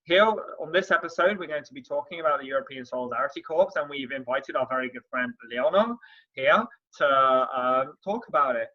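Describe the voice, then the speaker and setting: A man's voice: on the high side, a little fast. Young male podcast host, slightly muffled sound. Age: young adult. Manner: relaxed, uses filler words like "like". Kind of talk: casual podcast interview. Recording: dull, muffled audio.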